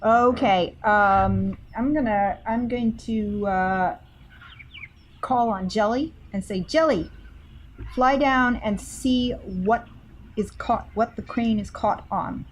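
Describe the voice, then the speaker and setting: A woman's voice: a little high, takes it slowly, a little hiss or hum. A woman playing a character in a tabletop game, speaking earnestly with some hesitant fillers. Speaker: player.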